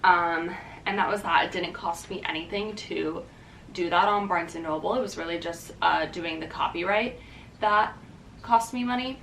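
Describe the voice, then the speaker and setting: A woman's voice: on the low side, moderate pace, vocal fry, fairly bright tone, distant mic, slight background noise. A young woman vlogging casually to the camera, chatty and upbeat, with lots of "um" and "like". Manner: chatty and upbeat, many fillers like "um" and "like". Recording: echoey room, voice a bit off-mic.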